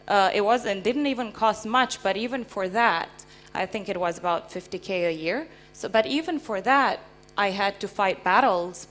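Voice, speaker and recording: A woman from Romania speaking as a panel guest, explaining things conversationally and often checking in with rhetorical questions. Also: medium pitch. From Transylvania, Romania. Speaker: invited panel speaker, company founder. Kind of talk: panel interview, explanatory anecdotes. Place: live conference panel before an audience.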